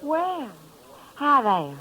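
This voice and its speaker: Coy, high-voiced secretary. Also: female voice, sing-song, extremely slow, pushed and bright, full character voice. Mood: coy, uneasy. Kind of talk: 1950s radio drama acting. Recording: dull vintage 1950s radio recording.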